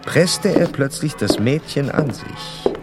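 Animated, clinical doctor. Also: male voice, fairly high pitch, over loud music. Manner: measured, clinical, formal. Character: composed, probing male doctor narrating a case study. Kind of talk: formal case-report narration in radio play.

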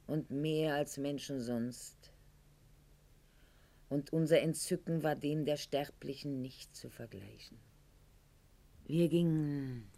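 Radio play role: haughty, self-dramatising noblewoman of the classical age, lowish voice, very measured pace, mannered theatrical diction. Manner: mannered, articulate, declamatory, swinging to hushed confiding tones. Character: proud, haughty aristocratic lady of Goethe's era, sentimental and self-dramatising.

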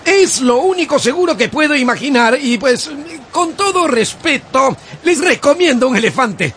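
An actor playing a deferential local man advising travelers in a radio drama, hesitant and drawn-out. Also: very high-pitched, expressive, a bit bright, a little hiss or hum. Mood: deferential, apologetic. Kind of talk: Spanish-language radio drama acting.

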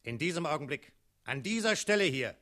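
An actor in a radio play playing a cold, haughty dragon in human form, formal, imperious and threatening. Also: male voice, fairly high pitch, sing-song, a little fast, bright, forceful tone. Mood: grandly menacing.

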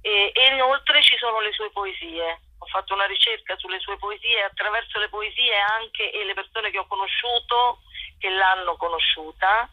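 A woman, an actress and playwright, giving a spontaneous interview in a halting, self-correcting way over a telephone. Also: medium pitch, dry recording. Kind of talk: spontaneous radio interview about own show. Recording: dull telephone line.

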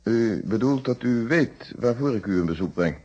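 An actor in a Dutch radio play playing a courteous, methodical police inspector with formal, deliberate questioning. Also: male voice, medium pitch, animated, warm tone, natural voice. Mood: courteous, probing. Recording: dull, band-limited old radio recording.

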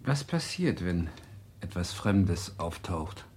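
A man's voice: low-pitched, sing-song, slow pace, a little mellow, exaggerated voice. An actor playing a curious but cautious male professor in a German radio play, speaking tentatively. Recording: echoey room, some background noise.

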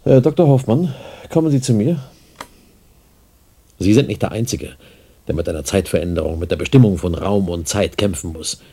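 A man acting a stern, ambitious senior doctor in a German radio drama, husky and commanding, stressing his words. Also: low voice, lively intonation, moderate pace, pauses often, mellow, full character voice. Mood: insistent, lecturing. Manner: commanding, emphatic.

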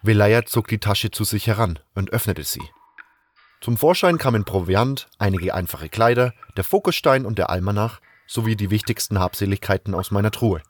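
A man's voice: fairly low pitch, normal speed, lightly acted. A man playing an earnest, brooding adventurer who tells his own story in a fan audio drama. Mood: resolved, subdued. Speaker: narrator and lead actor in a fan audio drama. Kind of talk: first-person dramatic narration and dialogue.

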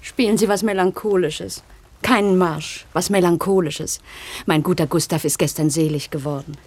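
An actress playing a brisk, practical, newly widowed woman who speaks in a matter-of-fact way in a radio play. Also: on the low side, a little mellow, natural voice. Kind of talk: 1960s radio play acting.

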